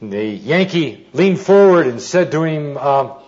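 A man giving an informal sermon with anecdotes, telling them deliberately with pauses and dry humour. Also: fairly high pitch, expressive, darkish tone. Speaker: preacher, Bible teacher. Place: speaking live to an audience. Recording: dull, muffled lo-fi recording.

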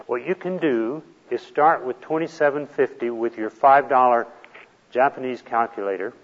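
A man's voice: medium pitch, long reverb tail, highs cut off. A man teaching a retirement planning class, explaining step by step in a patient, plain way. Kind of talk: classroom lecture.

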